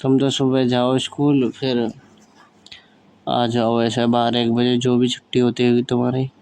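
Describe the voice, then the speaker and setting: A man's voice: mid-range voice, monotone, a little slow, darkish tone, smooth. A man chatting casually on the phone in a drowsy, laid-back manner. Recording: phone call with faint background noise.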